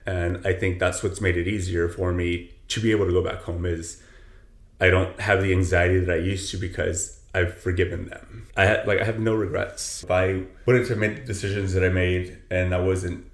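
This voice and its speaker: Deep-voiced trainer, earnest and roomy. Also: male voice, flat delivery, normal speed. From south Texas. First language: Spanish. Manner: earnest, filler-heavy, many "you know"s. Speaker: personal trainer, podcast guest. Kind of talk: casual reflective interview talk. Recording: roomy echo with faint ambience.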